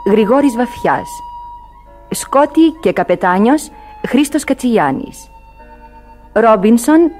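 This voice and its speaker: Female radio drama announcer, fairly high voice, scripted, faint music underneath. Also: a little mellow.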